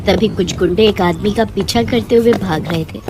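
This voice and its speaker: A woman's voice: mid-range voice, moderate pace, noisy background. A woman narrator reading a scripted children's moral story.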